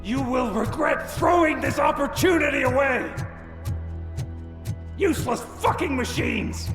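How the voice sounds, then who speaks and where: An actor voicing a desperate, theatrical male crime boss in a video game cutscene, swinging from sly to shouting. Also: very high voice, a little slow, over music. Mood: desperate rage. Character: desperate, ranting male crime boss taunting a cyborg cop.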